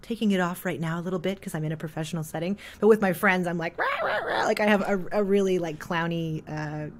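A woman in her thirties from Canada, a jazz singer chatting as an interview guest with a thick, heavy voice, full of "like" and quick laughs. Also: fairly low pitch, background noise. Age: 30s. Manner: rambling, filler-heavy, laughs often. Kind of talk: casual interview chat.

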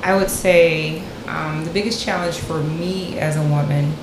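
A woman, an active duty military guest, speaking deliberately on a podcast panel. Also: sits low, fairly even intonation. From Mississippi. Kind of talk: casual panel discussion. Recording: echoey room with clear background noise.

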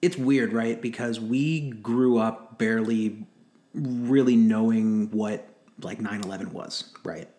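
A man's voice: fairly low pitch, takes it slowly, mellow. A man, conducting a casual interview and often searching for his words. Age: 20s. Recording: echoey, roomy sound.

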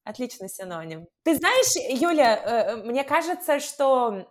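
A young woman with a slightly high voice answers questions as a podcast guest. She talks in a thoughtful, unhurried way, pausing to find words and laughing easily. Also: very animated. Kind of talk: casual conversational interview.

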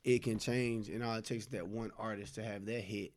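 A man's voice: on the low side, fairly even intonation, brisk, a little mellow. A man in his twenties, co-hosting a casual group chat and insisting on finishing his point. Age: 20s. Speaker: podcast co-host.

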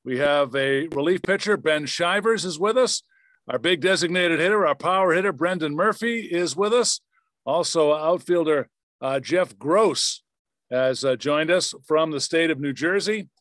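This is a man hosting a sports interview podcast. His voice sits a little high for a man. The sound is very dry, with no room around it. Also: moderate pace, fairly bright tone, no room sound. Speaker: podcast host, former radio play-by-play announcer.

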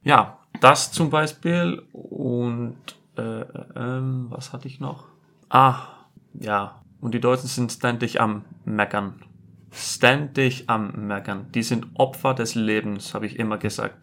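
A man's voice: medium pitch, takes it slowly, sharp tone. A young Icelandic man with an Icelandic accent, speaking German as a second language, chatting with blunt, dry humor. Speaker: podcast guest, host's partner. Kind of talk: casual conversation.

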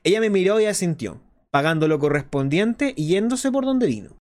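A man playing an earnest, explanatory host of a clandestine resistance radio show, in a scripted monologue with deliberate phrasing. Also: high voice, expressive, darkish tone. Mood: somber, reflective. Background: music behind the voice.

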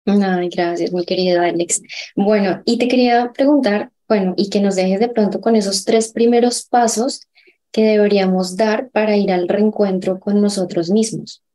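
A woman hosting a podcast interview, friendly and quick to laugh as she shares her own stories. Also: mid-range voice, steady tone, mellow. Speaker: podcast host. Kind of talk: conversational interview.

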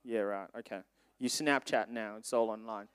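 A man whose first languages are Swahili and French, preaching informally to young people with conversational, animated storytelling and questions to his listeners. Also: on the low side, normal speed, clear, resonant tone, no echo. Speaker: preacher speaking to a youth group. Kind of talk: informal sermon with personal anecdotes.

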